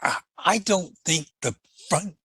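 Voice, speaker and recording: A man's voice: sits high, slow, sharp tone, slightly rough, no room sound, full character voice. A man playing a meek, folksy assistant to an Old West marshal in a radio western, with a hesitant, stammering, deferential delivery. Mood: flustered. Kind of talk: old-time western radio drama acting.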